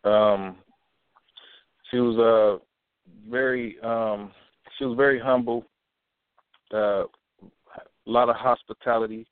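A young man from Milwaukee, guest on an interview, talking in a relaxed, halting way with many restarts and "you know"s. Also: mid-range voice, clear, resonant tone, dry recording. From Milwaukee, USA. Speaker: rapper and actor guest. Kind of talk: casual interview talk. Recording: tinny phone-like sound, dry.

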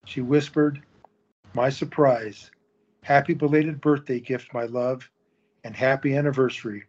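A man narrates an audio drama in a steady storytelling manner, with his voice at a middle pitch. The recording has a slightly dull, lo-fi sound. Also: a little slow, husky.

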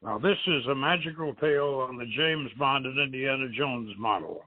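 A man's voice: medium pitch, normal speed. A man hosting a radio show, introducing and reading aloud an adventure story, on a muffled narrow-band recording. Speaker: show host and novelist reading own story.

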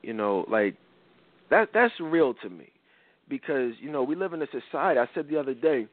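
A man's voice: mid-range voice, sing-song, normal speed, no echo. A man hosting a talk show, congested from a cold, rambling with many restarts. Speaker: podcast host. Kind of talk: casual talk show chat. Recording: tinny phone-like dry sound.

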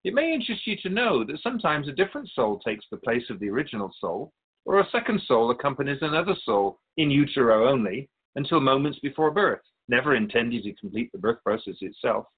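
Spirit guide for radio drama male actor: wise, formal, instructive, lively mid-range pitch. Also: quick pace, slightly rough, narrow, tinny audio. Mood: serene, instructive. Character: Keeper of Souls, serene wise otherworldly spirit guide.

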